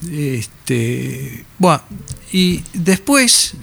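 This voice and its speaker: An older man from Argentina with a Rioplatense accent, an author answering interview questions in a halting, reflective way with many fillers. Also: a little high, sing-song, creaky, fairly bright tone. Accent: Rioplatense Spanish. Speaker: author interviewed about his novel.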